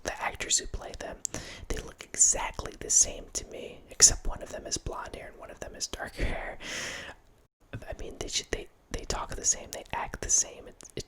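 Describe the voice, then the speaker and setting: Whispering man rambling into a close mic. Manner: chatty rambling whisper with restarts. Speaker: ASMR channel host. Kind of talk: whispered ASMR horror film ramble. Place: home room with bookshelves, indoors. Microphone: large studio mic close up, wearing headphones.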